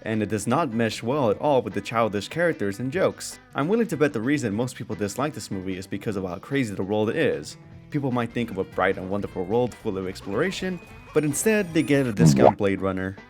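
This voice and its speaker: A man's voice: on the low side, expressive, a little fast. A man reviewing a film in casual, scripted video-essay narration with an informal manner. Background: background music under voice-over.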